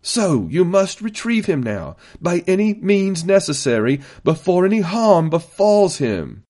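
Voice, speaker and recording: A man's voice: mid-range voice, lively intonation, measured pace, a little mellow. A man voicing a gaunt, haughty imperial inquisitor in an audiobook, speaking with intense, imperious emphasis. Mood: threatening.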